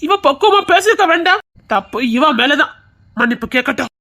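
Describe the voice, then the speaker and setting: A man's voice: extremely high pitch, expressive, brisk, pushed and bright, full character voice. An actor playing a stern, principled father who firmly scolds his daughter. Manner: firm, scolding.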